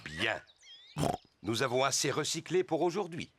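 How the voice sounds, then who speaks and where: Un acteur à l'français joue un papa cochon de dessin animé, jovial et patient, pour les enfants. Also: male voice, medium pitch, very animated, normal speed, background noise, natural voice. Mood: encouraging. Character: jolly adult male cartoon pig, patient father. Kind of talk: children's cartoon dubbing. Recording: music behind the voice.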